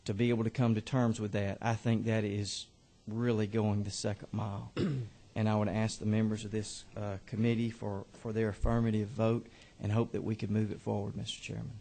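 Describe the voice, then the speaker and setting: A man, a state representative, giving halting, earnest remarks to a committee on his bill. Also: lowish voice, little pitch movement, creaky, warm tone. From Georgia. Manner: earnest, halting with many fillers.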